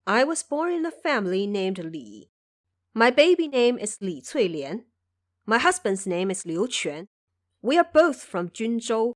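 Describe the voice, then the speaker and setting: A woman's voice: a little high, big pitch swings, unhurried. A woman voicing an indignant, proud and bewildered village wife in an audio drama, emphatic and scolding.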